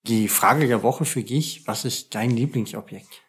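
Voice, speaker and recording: A man's voice: medium pitch, unhurried. German man hosting a podcast interview. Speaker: podcast host. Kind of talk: scripted interview questions.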